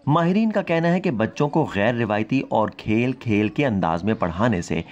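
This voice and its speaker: A man narrating a scripted news report in a measured, formal voice. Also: medium pitch, expressive, a little mellow, dull audio.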